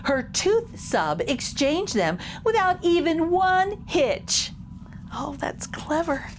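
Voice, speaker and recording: Brief: woman reading a kids' story aloud, bright high voice, slow, sing-song delivery. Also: very animated, slight background noise. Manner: warm, exclamatory, asks children questions. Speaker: children's storytime reader. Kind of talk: children's picture book read-aloud.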